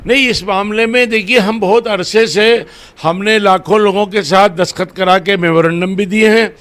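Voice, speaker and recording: A man answering interview questions as head of a religious organisation, deliberate and formally worded. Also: high voice, a bit bright.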